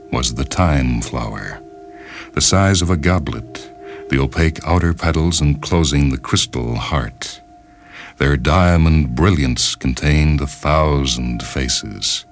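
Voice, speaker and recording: A man with a strikingly deep, bass voice narrates a short story. His delivery is grave, measured and dramatic. Orchestral music plays clearly behind him. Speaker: narrator and reader.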